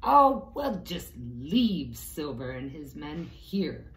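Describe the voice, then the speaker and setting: A woman's voice: deep voice, sing-song, slow, fairly bright tone, distant mic, exaggerated voice. Storybook sea captain, decisive and blustery, played by a female reader, in an echoey room. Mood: dismissive, decisive. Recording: echoey room with faint background noise.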